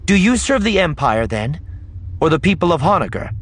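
A regal, defiant princess played by a man in an audiobook. The voice is lifted well above the reader's usual pitch, loud and declamatory, with animated formal phrasing. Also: moderate pace, a little hiss or hum.

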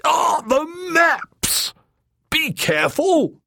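A man voicing a small, fat, fussy male mapmaker in an audiobook, excitable, squawking and stubbornly optimistic. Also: very high-pitched, extremely slow, gravelly, pitched above the performer's own voice, full character voice. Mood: squawking, indignant. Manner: squawking, flustered, eager. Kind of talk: audiobook character dialogue.

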